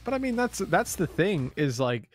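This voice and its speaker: Chatty Irish esports caster. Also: male voice, very animated, moderate pace, soft background music. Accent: Irish, with an American lilt. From Ireland. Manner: chatty, laughs easily, rises in pitch with the action. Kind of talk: play-by-play and color commentary.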